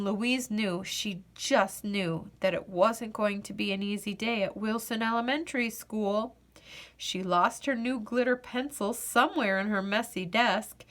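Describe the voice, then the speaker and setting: A woman storyteller reading a children's picture book aloud, expressively and deliberately, with dramatic pauses on key words. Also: mid-range voice, fairly bright tone.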